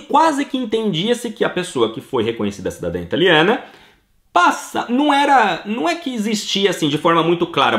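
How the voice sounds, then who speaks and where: Brazilian man, middle-aged, animated and emphatic, slightly high voice, roomy echo. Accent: Brazilian Portuguese. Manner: emphatic, acts out listeners' questions in quotes. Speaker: citizenship consultant answering listener questions. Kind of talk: casual explanatory talk.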